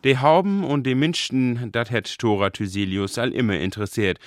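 A male radio reporter with a northern Low German accent delivers scripted narration. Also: normal speed.

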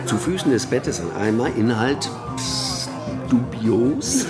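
A man's voice: medium pitch, sing-song, slow pace, loud music behind the voice. An actor in a radio play playing a middle-aged man who tells things in an ornate, mock-pompous, hesitant way. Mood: mock-dramatic storytelling. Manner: ornate mock-pompous phrasing with stammered starts. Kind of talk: naturalistic radio play dialogue, anecdote telling.

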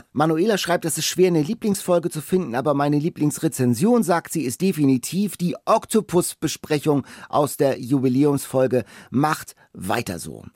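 A man's voice: fairly high pitch, animated, moderate pace, darkish tone, no echo, clean studio sound. A man chatting casually as a podcast co-host. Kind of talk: casual chat. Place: podcast studio.

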